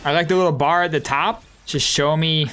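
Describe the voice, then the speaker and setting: A man's voice: fairly high pitch, steady tone, brisk, a bit bright, clear, resonant tone. A man doing casual live gameplay commentary, joking and bantering with the game and his chat. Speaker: game streamer.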